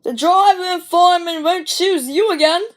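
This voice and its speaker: A woman's voice: extremely high pitch, moderate pace, voice pushed up. A woman voicing big, boastful, scornful railway engines in a storybook, in a taunting manner. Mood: scornful boasting.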